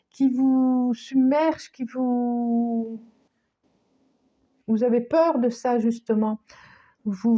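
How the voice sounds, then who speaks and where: A woman with a cold giving a tarot reading, speaking slowly with many restarts and repetitions, sometimes dropping to a near-whisper. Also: high voice, dark tone, dull audio. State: has a cold, coughing. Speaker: tarot card reader.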